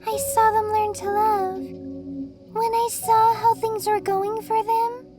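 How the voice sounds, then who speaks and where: A woman voicing a gentle, weary pony mare who serves as Death, speaking softly, tenderly and wistfully in an audio drama. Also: very high voice, flat delivery, slow. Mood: tender, nostalgic. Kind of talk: audio drama character dialogue. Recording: loud music bed, slightly distant. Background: loud music.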